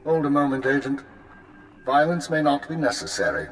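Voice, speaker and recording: An actor voicing a composed male intelligence chief who speaks in a formal, measured, dry way. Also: a little high, sing-song, slight background noise.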